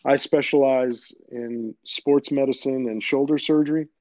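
A man from Queens, New York, whose first language is Greek, explaining his path to becoming an orthopedic surgeon in a measured way with frequent fillers. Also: mid-range voice, steady tone, darkish tone, no room sound. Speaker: orthopedic surgeon, podcast guest. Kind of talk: conversational interview answers. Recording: dull, dry, lo-fi sound.

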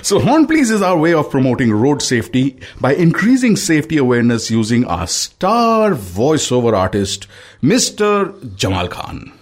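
A male podcast co-host introduces segments and guests in an easygoing, announcer-like way. His pitch swings very widely, rising and falling all the time. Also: huge pitch swings, normal speed. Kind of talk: radio-style podcast hosting.

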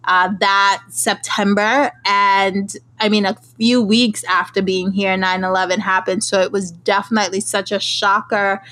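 امرأة من ترينيداد تقدّم بودكاست. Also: female voice, mid-range voice, level tone, unhurried, few pauses, bright, forceful tone. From Trinidad and Tobago. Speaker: podcast host. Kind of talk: casual interview.

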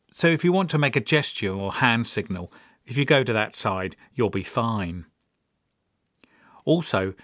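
A man's voice: fairly low pitch, fairly bright tone, no room sound. A middle-aged British man telling personal stories as a podcast host, with a dry, wry, understated manner. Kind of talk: personal storytelling monologue.

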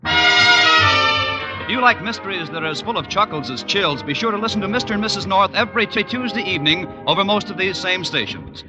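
A man's voice: high voice, lively intonation, brisk, over loud music, poor audio. A man with an American accent announcing in a polished, declamatory old-time radio style. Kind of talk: 1940s-50s radio announcing.

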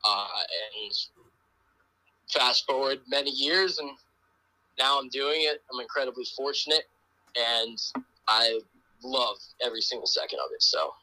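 Bright-voiced young man telling stories. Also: mid-range voice, measured pace, pauses often, dry recording, rough recording. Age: 20s. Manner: relaxed, rambling storytelling with many "like" and "um". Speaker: podcast guest, pro wrestler. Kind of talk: casual podcast interview.

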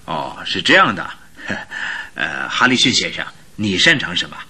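An actor playing a nervous, impatient company director with a guilty secret in a radio drama, husky and hesitant. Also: male voice, deep voice, wildly swooping pitch, measured pace, bright, forceful tone, heavily acted. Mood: uneasy, hesitant. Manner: hesitant, evasive, dismissive. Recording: radio drama with faint music.

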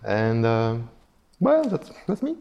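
A man's voice: medium pitch, huge pitch swings, takes it slowly, creaky, darkish tone, highs cut off. A man in his fifties, originally from Belgium, a chef being interviewed, speaking hesitantly and often repeating words as he searches for phrasing. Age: 50s. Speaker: chef, guest. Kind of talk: conversational interview.

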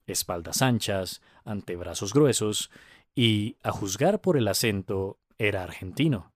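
A man narrating a scripted story for language learners, with careful, deliberate enunciation. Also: lowish voice, expressive, no echo, studio quality.

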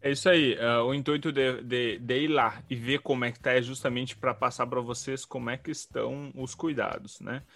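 A man's voice: medium pitch, steady tone, normal speed, creaky, hardly any pauses, fairly bright tone. A man co-hosting a podcast, friendly and joking with fillers. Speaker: podcast co-host. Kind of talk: casual podcast chat.

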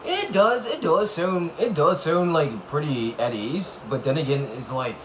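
A man's voice: on the high side, expressive. A young man in casual chat, playful and teasing. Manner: playful, teasing, drawn-out agreement. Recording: clear background noise.

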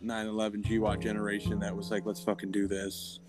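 A man's voice: on the low side, monotone, a little fast, a little mellow, faint music behind. An American man of about forty from upstate New York, telling his story informally as an interview guest. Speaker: interview guest, army veteran. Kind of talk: casual personal storytelling.

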